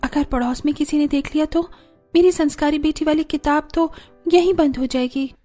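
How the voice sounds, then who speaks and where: A woman's voice: sits high, normal speed, dark tone, soft background music, lightly acted. A woman voicing chatty young women in a cartoon family story. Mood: pleading, chatty.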